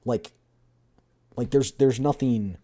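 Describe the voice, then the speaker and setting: A streamer chatting casually in a rambling, repetitive, excitable way while doing a voiced readthrough of a game story. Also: medium pitch, slow pace.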